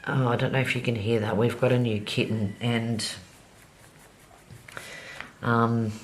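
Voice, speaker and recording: A woman's voice: extremely low pitch, flat delivery, a little slow, slight background noise. A woman vlogger chatting casually as she decorates her planner, slightly nasal from a cold, with many ums. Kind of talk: casual plan-with-me narration while decorating.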